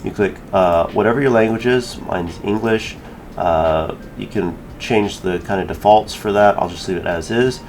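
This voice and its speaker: A man giving a casual software tutorial, informally thinking aloud as he works through each step. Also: lowish voice, moderate pace, a little hiss or hum. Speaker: tutorial creator.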